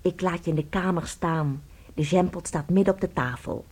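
An actress playing an anxious, devoted wife in a radio play, tender and theatrical, with a breathy delivery. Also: female voice, lowish voice, moderate pace. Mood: solicitous. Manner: theatrical, breathy, swinging from hushed to agitated.

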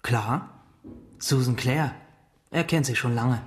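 A young actor playing a flippant, stubborn teenage brother in trouble in a radio drama. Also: male voice, mid-range voice, slow pace, lightly acted. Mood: casual, evasive.

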